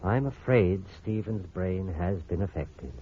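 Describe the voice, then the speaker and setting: An actor playing a smooth, manipulative doctor in a 1940s radio drama, with a theatrical, coaxing delivery. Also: male voice, sits low, lively intonation, measured pace. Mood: falsely soothing. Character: smooth, scheming, manipulative male doctor, secretly menacing. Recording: muffled, noisy vintage radio recording.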